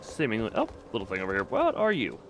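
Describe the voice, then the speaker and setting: A man's voice: lowish voice, huge pitch swings, quick pace, a little hiss or hum. A man doing casual live game commentary as a streamer, reading text aloud and adding wry, muttered asides.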